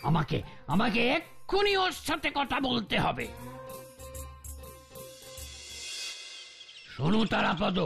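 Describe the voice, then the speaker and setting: A man voicing a bossy, easily exasperated older gentleman coach in an animated cartoon. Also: sits high, moderate pace, creaky, soft background music, exaggerated voice. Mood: amazed, excited. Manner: bossy, scolding, repeats words when flustered.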